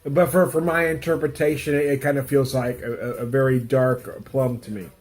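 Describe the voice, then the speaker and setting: A man's voice: medium pitch, steady tone, normal speed, darkish tone. A middle-aged man with a stuffy nose from a cold, rambling casually through a home beer tasting review and chuckling often. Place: home room with music playing.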